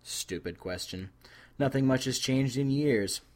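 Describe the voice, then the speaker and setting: A man reading a scripted story aloud as an expressive narrator. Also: medium pitch, unhurried. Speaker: fan fiction reader.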